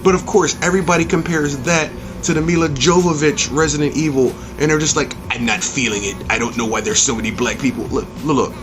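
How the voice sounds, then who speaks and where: A man's voice: on the high side, quick pace, hardly any pauses, music in the background. A man hosting an opinionated review rant, speaking in an emphatic, blunt, profane way and repeating phrases for punch. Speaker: video game movie reviewer and channel host.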